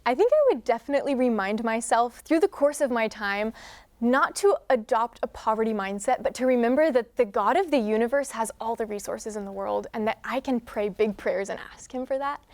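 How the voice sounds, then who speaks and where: A woman's voice: fairly high pitch, animated, a little fast. Earnest young woman on faith. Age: young adult. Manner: earnest, thoughtful, quotes scripture. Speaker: student leader, interview guest. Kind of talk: reflective faith interview talk.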